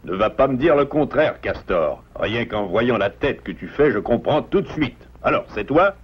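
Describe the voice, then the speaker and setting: A man's voice: medium pitch, moderate pace, breathy edge, lo-fi sound. An actor playing a stern, worried father beaver with an exasperated manner in a French cartoon dub. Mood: scolding. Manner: scolding, expressive, exasperated.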